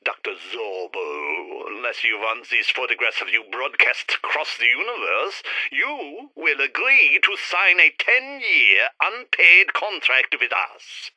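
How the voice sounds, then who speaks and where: An actor playing a towering, slick alien giraffe tycoon, a smooth-talking and calculating showman in a retro sci-fi radio serial. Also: a little high, sharp tone, no echo, heavily acted, low-quality recording.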